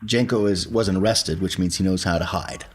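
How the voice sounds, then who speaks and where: A man's voice: sits low, moderate pace, a little hiss or hum. A man talking casually as a player in a tabletop game discussion.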